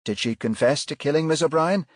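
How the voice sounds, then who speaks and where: A man voicing a brisk, formal woman television reporter with polite, polished delivery in an audiobook. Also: a little high, no room sound, pitched above the performer's own voice, close to an everyday voice. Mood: formal, inquisitive. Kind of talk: audiobook character voice.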